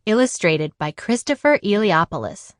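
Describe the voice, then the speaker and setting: A woman reading a children's picture book aloud in an expressive way, acting out the dialogue. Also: medium pitch, moderate pace, no room sound, clean studio sound. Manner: animated, acts out dialogue. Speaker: read-aloud storyteller.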